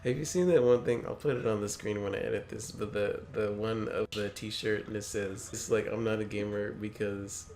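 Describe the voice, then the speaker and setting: A man's voice: on the low side, steady tone, moderate pace, creaky, few pauses, a little mellow, a little hiss or hum. A young man in casual chat, tossing in joking asides. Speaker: co-host.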